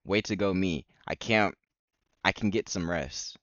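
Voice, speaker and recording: A man's voice: low-pitched, unhurried, fairly bright tone, no echo, lo-fi sound. A young man streaming a game, reading visual novel text aloud with casual reactions.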